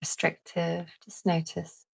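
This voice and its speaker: Woman doing a calming guided visualisation, really slow n gentle, low voice, lots of pauses. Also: vocal fry, slightly rough. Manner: gentle, deliberate, with long pauses. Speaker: guided meditation host.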